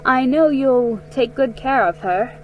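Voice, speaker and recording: A woman voicing a kindly, generous neighbour lady from a children's storybook, encouraging and gently emphatic. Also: high voice, unhurried, lo-fi sound, a little hiss or hum. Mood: fond, proud.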